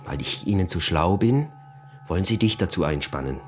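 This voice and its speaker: An actor with an Austrian accent playing a cunning, insistent peasant smuggler and husband in a radio drama. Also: male voice, sits low, animated, moderate pace, slight background noise. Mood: agitated, suspicious. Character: sly scheming peasant smuggler, husband, early 1900s. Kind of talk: radio drama acting in dialect.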